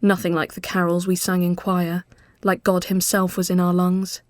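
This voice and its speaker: A woman reading a literary ghost story as scripted narration, measured and often hushed as phrases end. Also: fairly low pitch, monotone, dry recording.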